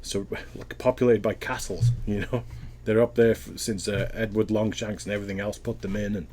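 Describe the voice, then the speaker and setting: A man's voice: fairly low pitch, little pitch movement, fairly quick, a little mellow, slight background noise. A Welsh man answering interview questions in a casual, thoughtful way, often rambling and restarting his sentences. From Wales.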